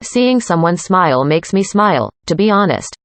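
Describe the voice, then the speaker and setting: A woman reading a student's part in a scripted classroom conversation, calm and plain. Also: lowish voice, moderate pace, no echo. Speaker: student in a dialogue.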